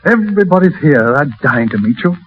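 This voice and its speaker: An actor playing a devoted, urbane, gently forbearing fiancé in a 1930s radio drama. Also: male voice, on the high side, sing-song, a little fast. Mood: attentive, urbane. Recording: noisy, narrow, distant 1930s radio recording.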